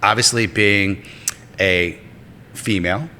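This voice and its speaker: Forceful, low-voiced male podcast host. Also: slow, big-room sound, a little hiss or hum. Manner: rambling questions, frequent fillers and restarts. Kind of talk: casual interview hosting.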